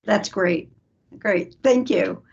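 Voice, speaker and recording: Woman moderator, breathy voice, encouraging questions, dull call sound. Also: medium pitch, slow pace. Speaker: panel moderator. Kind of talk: moderating a panel.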